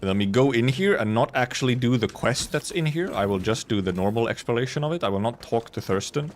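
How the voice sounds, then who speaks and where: A man's voice: medium pitch, lively intonation, brisk, a little hiss or hum. A man giving casual live gameplay commentary as a streamer, rambling and muttering to himself. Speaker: game streamer.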